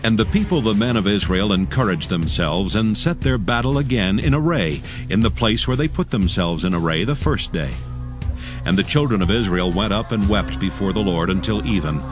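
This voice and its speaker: A man narrating scripture from a script in a solemn, measured way. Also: lowish voice, lively intonation. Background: music.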